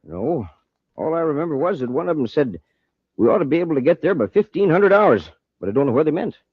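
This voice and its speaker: Eager husky settler, lively old radio. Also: male voice, on the high side, a little fast, no echo. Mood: earnest. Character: eager, guileless male math whiz settler. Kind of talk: 1950s radio drama acting. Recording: dull old radio recording.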